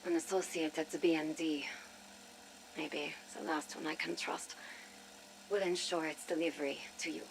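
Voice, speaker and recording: Wry rogue spy over hissy radio. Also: female voice, low-pitched, creaky, poor audio. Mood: grave, hushed. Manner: dry deadpan humour, deliberate phrasing. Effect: radio transmission filter. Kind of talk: recorded audio log, game voice acting. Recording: hissy radio transmission with static.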